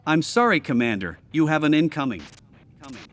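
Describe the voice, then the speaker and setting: A man's voice: on the high side, expressive, a little fast, lightly acted. An actor voicing a polite, fussy, eager-to-please male station computer in a sci-fi audio drama. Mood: apologetic, urgent. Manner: formal, courteous, chirpy. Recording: audio drama with music underneath.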